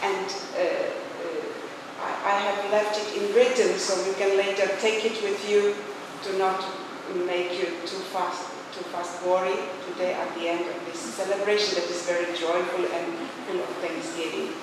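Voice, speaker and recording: A Polish woman delivers a reflective religious speech in accented, halting English at an unhurried pace. Her voice comes from a distance in a very echoey hall, with clear background noise. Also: medium pitch, steady tone. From Poland. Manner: halting, searching for words in non-native English. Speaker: religious leader, speaker. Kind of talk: informal closing speech with spiritual reflection. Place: large echoey hall, speaking to a gathering. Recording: echoey hall from far off, noisy.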